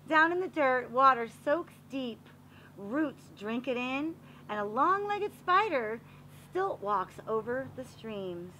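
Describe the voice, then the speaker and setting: Bright, high-voiced woman reading to children. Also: slow, slight background noise, poor audio. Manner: expressive, explains pictures to young listeners. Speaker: read-aloud reader for children. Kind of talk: animated children's picture book read-aloud.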